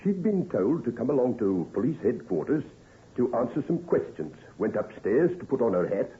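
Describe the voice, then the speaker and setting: A man's voice: medium pitch, very animated, normal speed, natural voice. Guy doing a gossipy copper in an old radio play, really up and down voice, tinny recording. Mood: grim, matter-of-fact. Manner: colloquial, plain-spoken, gossipy. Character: chatty, blunt working-class police officer, nosy yet deferential. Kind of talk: old-time radio drama acting. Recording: tinny, band-limited vintage radio recording.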